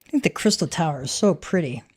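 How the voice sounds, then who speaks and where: A woman's voice: sits low, expressive, fast talker, a little mellow, husky, dry recording. A woman streamer narrating and chatting casually in a cozy game read-aloud.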